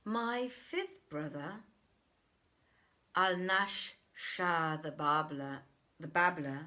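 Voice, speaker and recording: A woman narrating an old tale in an expressive, theatrical storybook style with deliberate phrasing. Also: low-pitched.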